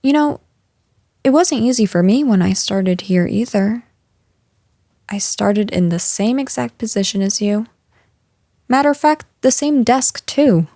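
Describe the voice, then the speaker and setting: A woman playing a caring female boss in an intimate audio roleplay, speaking gently and earnestly with hesitant pauses. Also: on the high side, animated, no echo, studio quality. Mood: reassuring, earnest.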